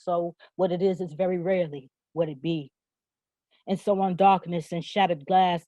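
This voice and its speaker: A woman's voice: mid-range voice, a little mellow, no echo, lo-fi sound. A woman performing spoken word poetry with rhythmic, rhyming phrasing and an emphatic, declarative manner. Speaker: poet.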